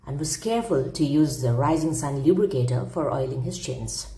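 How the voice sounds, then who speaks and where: A woman's voice: deep voice, warm tone, reverberant, low-quality recording. A woman narrating a classic humorous story aloud as a storyteller, with theatrical, emphatic phrasing.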